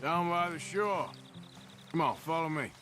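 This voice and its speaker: Man doing a middle-aged outlaw cowboy, drawl, unhurried, with music. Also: on the high side, close to an everyday voice. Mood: easygoing. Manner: easygoing drawl, patient and plainspoken. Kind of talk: video game cutscene acting. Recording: game audio with music underneath.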